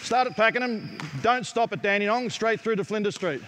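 Brisk Australian man coaching basketball. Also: high-pitched, expressive, a bit bright, a little hiss or hum. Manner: brisk, direct, calls out drills to players. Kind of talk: coaching clinic instruction. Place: large indoor basketball gym. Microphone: headset mic.

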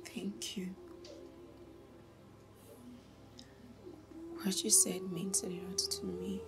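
A woman's voice: fairly low pitch, flat delivery, a little slow, loud music behind the voice, close to an everyday voice. An actress playing a young woman, heartbroken and betrayed, tearful and pleading. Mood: whispering tender. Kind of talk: film drama acting.

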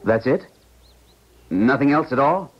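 An actor playing a distraught, grieving professor in a 1970s radio drama, with an agitated, halting, intense delivery. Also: male voice, mid-range voice, lively intonation, slight background noise, close to an everyday voice. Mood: distraught, insistent. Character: distraught male professor, grieving widower, insistent. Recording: muffled 1970s radio recording.

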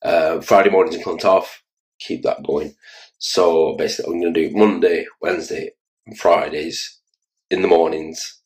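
A tired Irish man in his forties with a Dublin accent, talking casually and haltingly to his phone camera in a small room. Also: deep voice, steady tone, poor audio. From Ireland. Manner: rambling, halting, self-mocking. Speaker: children's entertainer and vlogger. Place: small room indoors, in an office chair. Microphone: phone camera held close.

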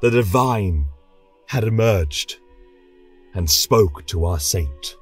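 A man narrating a scripted fantasy tale as a podcast storyteller, solemn, dramatic and measured. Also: low-pitched, expressive, husky, far from the mic. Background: choral music.

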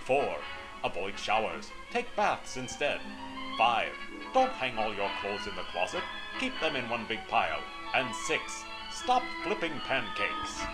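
A man's voice: lowish voice, huge pitch swings, a little slow, low-quality recording. A man reading a mock commercial in an earnest public-service tone. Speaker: spoof ad announcer. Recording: announcer over loud music.

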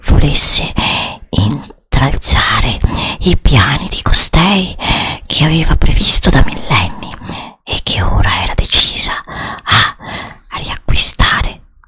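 A woman narrates a fantasy tale as a storyteller. Her delivery is whispered and slow, with dramatic, drawn-out phrasing. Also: a bass voice, sing-song, sharp tone, slightly rough.

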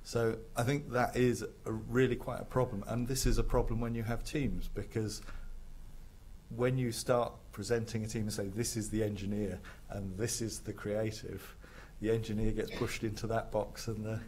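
A man who teaches, speaking in a measured, deliberate way on a panel. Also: on the low side, level tone, darkish tone, slight background noise, low-quality recording. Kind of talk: panel discussion. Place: large dark auditorium stage.

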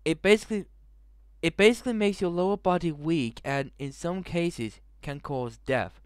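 A man's voice: a little high, moderate pace, smooth, no echo, clean studio sound. A young man hosting a gaming video in his bedroom, reading a visual novel aloud into a headset mic and breaking in with crude jokes. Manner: jokey, swearing, breaks into laughter and asides. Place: bedroom, indoors.

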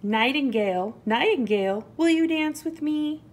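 A woman's voice: high-pitched, animated, normal speed, pitched above the performer's own voice. A woman voicing a small, eager male mouse in a children's storybook, pleading in a singsong way. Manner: singsong, pleading, repeats names.

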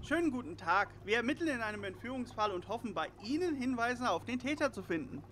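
A man's voice: very high voice, expressive, quick pace, fairly bright tone, slight background noise. A man voicing a polite, brainy boy detective with formal manners in an audio drama parody. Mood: polite, businesslike. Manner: formal, courteous.

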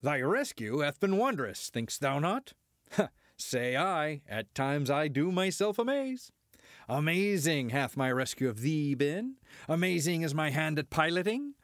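An actor playing a cocky, boastful starship pilot, swaggering through archaic verse in an audio drama. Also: male voice, fairly high pitch, sing-song, measured pace, dry recording, clean studio sound.

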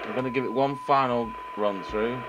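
A man's voice: medium pitch, normal speed, highs cut off. A man giving an informal hands-on technical demonstration, matter-of-fact, thinking aloud as he works at a bench. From United Kingdom. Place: indoors at a repair bench. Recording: clearly noisy workshop camera recording. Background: steady background noise.

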